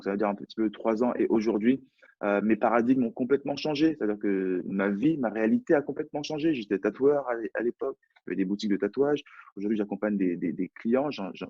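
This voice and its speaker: A man's voice: lowish voice, mellow, breathy edge, no room sound, muffled recording. A French man, a personal development coach, talking in a live online chat, hesitant and often repeating words. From France.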